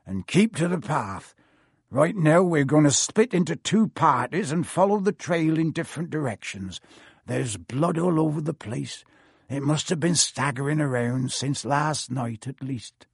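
A man voicing a big gruff gamekeeper who talks bluntly with country words. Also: a little high, lively intonation, unhurried, no echo, clean studio sound, exaggerated voice. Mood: instructing.

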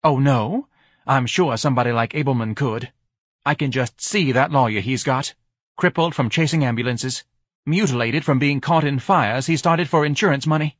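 A man's voice: mid-range voice, moderate pace, husky, no room sound, studio quality, pitched above the performer's own voice, natural voice. A man voicing a melodramatic, self-righteous middle-aged wife in an audiobook, grandly reproachful and scornful. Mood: alarmed, scornful. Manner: overwrought, martyred, grandly reproachful.

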